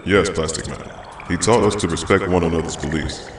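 A man's voice: low voice, fairly quick, very noisy. A man playing a wise, formal alien telepath superhero explaining things in a comic-book drama, with echo on his voice. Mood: patient, grave. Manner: formal, expository. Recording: echoing, distant voice under loud music.

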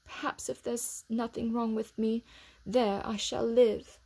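Storybook role: woman reading as a spoiled, fretful young boy, high and whiny with a husky, breathy edge. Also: flat delivery, unhurried, warm tone, full character voice. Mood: pleading. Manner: plaintive, sniffling delivery.

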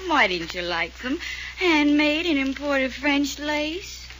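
An actress playing a coy, teasing young heiress who sounds tipsy, in an old-time radio mystery. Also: female voice, high-pitched, lively intonation, takes it slowly. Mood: giddy, coy. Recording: noisy old radio recording.

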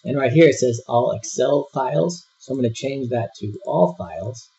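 A man giving a screen-recorded software tutorial, walking through each step in a relaxed way with frequent fillers. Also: medium pitch, moderate pace, vocal fry, husky. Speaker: software instructor. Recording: dull lo-fi voice-over.

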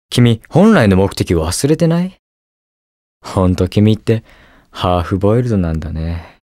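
A man's voice: mid-range voice, dry recording, studio quality. A young man playing a cool, inquisitive detective superhero in a video game, with precise, teasing delivery. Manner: calm, precise, smug and curious delivery. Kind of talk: Japanese video game voice acting, short lines.

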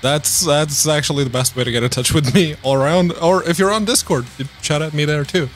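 A man's voice: on the high side, a little fast, soft background music. A man co-hosting a podcast, chatting casually and joking, laughing easily, into a studio mic at home. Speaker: podcast co-host.

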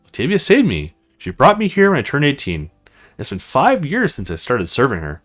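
A man's voice: mid-range voice, wildly swooping pitch, normal speed, fairly bright tone, faint music behind. A man voicing a submissive young servant woman in a visual novel, meek, earnest and obedient. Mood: devoted, meek.

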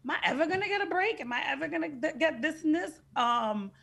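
A woman's voice: high voice, expressive, fast, vocal fry, fairly bright tone. A young woman with a Dominican-tinged accent, talking frankly and emphatically as an actress guest over a video call, now and then swearing. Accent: Dominican Spanish-tinged English. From Dominican heritage. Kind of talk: casual podcast interview. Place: indoor room with plain backdrop.

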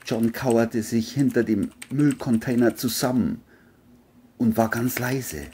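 A woman reading scripted narration for a crime audiobook in a husky voice. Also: very deep voice, slow pace, mellow, slight background noise.

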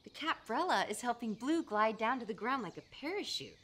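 A woman's voice: on the high side, sing-song, normal speed, a bit bright. A woman in her thirties reading a children's storybook aloud expressively, with playful, emphatic asides for young listeners.

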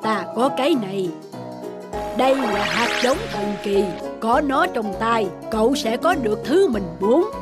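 An actor as a slow, solemn tree spirit. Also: mid-range voice, animated, natural voice. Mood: calm, solemn. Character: calm, ancient, kindly male tree spirit. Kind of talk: animated folk-tale voice acting. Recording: background music under the voice.

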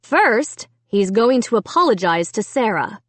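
A woman's voice: a little high, big pitch swings, unhurried, dry recording. A woman voicing a stern mother who scolds her children firmly and sharply in a storybook. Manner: firm, sharp, commanding.

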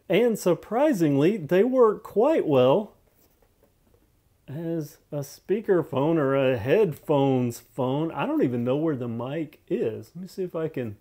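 A man's voice: a little high, dark tone. A man giving a casual, unscripted product review, thinking aloud and repeating phrases for emphasis in a conversational way.

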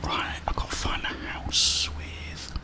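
A young man hosting an ASMR gaming video, muttering casual commentary to himself in a whisper as he plays. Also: slow.

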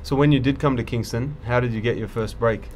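Calm male interviewer, mid-pitched voice. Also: fairly quick, slight background noise. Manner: calm, brief questions and acknowledgments. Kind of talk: interview questions. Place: recording studio.

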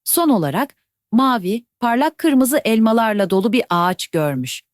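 A woman telling a children's bedtime fairy tale in a gentle, even storytelling voice. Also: a little high, normal speed, dry recording, clean studio sound.

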